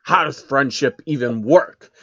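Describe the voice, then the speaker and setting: A man's voice: mid-range voice, a little slow, a bit bright, no echo, dull audio. A man voicing a grumpy, arrogant old billionaire villain with a snarling, pompous manner in a storybook reading. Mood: irritated. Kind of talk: storybook character voice in amateur fan fiction reading.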